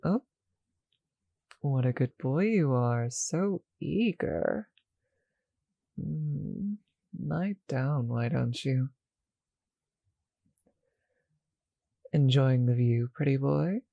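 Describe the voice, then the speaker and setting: Slow, creaky, seductive vampire, acted for audio roleplay. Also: mid-range voice, animated, no echo, dull audio, full character voice. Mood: seductive, hungry. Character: seductive, doting vampire with a teasing, possessive streak. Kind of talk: intimate ASMR roleplay audio.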